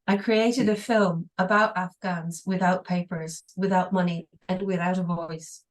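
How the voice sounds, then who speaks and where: A woman reading an English translation aloud, steady and careful, with brief pauses. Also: fairly low pitch. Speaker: interpreter.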